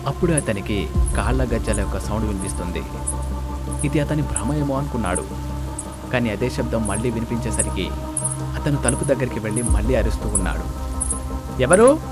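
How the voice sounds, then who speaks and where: A man's voice: on the low side, fairly quick, pauses often. A man narrating a scripted horror story as a storyteller. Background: loud music, rain and storm effects.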